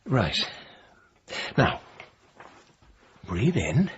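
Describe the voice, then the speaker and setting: An actor playing a polite, measured but tactless male hypnotherapist in a radio drama. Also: lowish voice, sing-song, dull audio, slight background noise, full character voice. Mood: mild, tactless.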